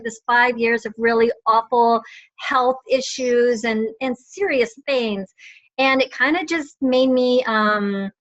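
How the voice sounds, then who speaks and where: A middle-aged woman with a high, bright voice, chatting eagerly and rambling with plenty of fillers. Her audio comes through a video call and sounds dull and lo-fi. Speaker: guest, online reseller. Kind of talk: casual video chat.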